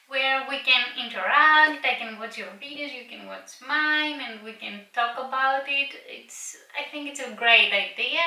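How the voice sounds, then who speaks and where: A woman's voice: a little high, sharp tone. A young woman whose first language is Greek, chatting eagerly on her video channel about her books, with a few slips and restarts. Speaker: booktuber. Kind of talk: casual vlog chat. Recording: roomy echo, mic a little far.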